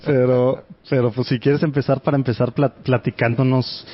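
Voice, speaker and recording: A man's voice: mid-range voice, level tone, moderate pace. A man hosting a podcast, asking friendly, easygoing questions and laughing along with his guest. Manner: friendly, laughs often, short prompting questions. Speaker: podcast host. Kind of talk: conversational interviewing. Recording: muffled audio with faint hiss.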